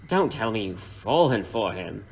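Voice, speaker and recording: Over clear background noise, a man plays a cold, sadistic gangster in an audio drama. His voice is gravelly and creaky, with a measured, taunting sneer. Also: fairly low pitch, very animated, rough recording.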